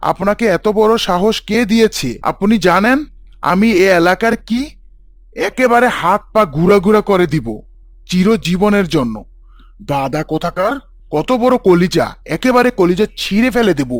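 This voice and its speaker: A man's voice: high-pitched, lively intonation, moderate pace, no room sound, clean studio sound. A man playing an arrogant local boss and angry father in a story, domineering and threatening. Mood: furious, threatening.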